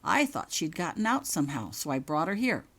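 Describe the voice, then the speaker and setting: A woman's voice: low-pitched, big pitch swings, fairly bright tone, full character voice. A woman voicing a respectful, defensive schoolboy prefect in a story, stiff and eager to justify himself. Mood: self-justifying. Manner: stiff, hurried, deferential.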